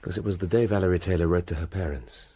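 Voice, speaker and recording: A man's voice: deep voice, fast talker, dark tone, husky. A man playing a shrewd police inspector in a radio drama, speaking in a dry, hesitant, politely probing way. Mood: probing, wry. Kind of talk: full-cast radio drama acting.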